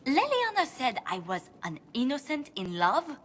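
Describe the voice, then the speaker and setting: A woman's voice: high-pitched, huge pitch swings, unhurried, slight background noise, heavily acted. An actress playing an earnest, proper, easily flustered noblewoman in a video game, with a polite, precise, formal manner. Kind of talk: video game cut-scene acting.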